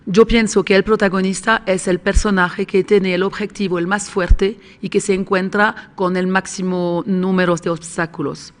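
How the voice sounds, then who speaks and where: Woman, Spanish, screenwriting panelist, halting with hums and restarts. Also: medium pitch, fairly even intonation. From Switzerland. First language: not Spanish. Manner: searching for words with hums and restarts. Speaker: screenwriter and script doctor. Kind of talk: panel talk to an audience. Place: conference room with audience.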